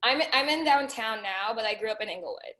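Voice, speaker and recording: A woman's voice: a little high, animated, a little fast, a crowd in the background. A woman who grew up in the Philippines, a photographer and studio owner, chatting eagerly on a panel over a video call. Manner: chatty, enthusiastic, many "like"s. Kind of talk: casual panel chat.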